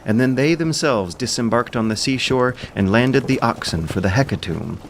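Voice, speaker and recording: A man giving a solemn, measured, dramatic narration of an ancient epic as a storyteller. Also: mid-range voice, a little hiss or hum.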